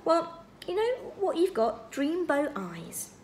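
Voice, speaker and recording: A frank and caring teenage boy from a storybook, played by a woman reading aloud. He is direct and gently probing, with a fairly high voice. The recording is a little noisy. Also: big pitch swings, fast talker. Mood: gentle, coaxing.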